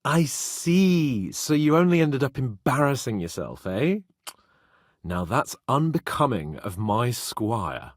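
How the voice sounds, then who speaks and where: Haughty young nobleman, British accent, husky, very animated, indignant, foul-mouthed, game dialogue. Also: mid-range voice, slow pace, no echo, clean studio sound. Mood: sardonic. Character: haughty, hot-tempered young nobleman, male, cocky and petulant. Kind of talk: dramatic video game voice acting.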